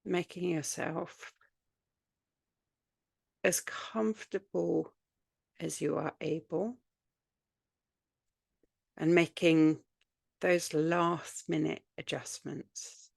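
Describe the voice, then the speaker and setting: A woman guiding a yoga nidra relaxation, speaking soothingly in short, unhurried phrases with long pauses between them. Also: low-pitched, fairly even intonation, vocal fry, no room sound. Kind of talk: guided meditation, spoken relaxation practice.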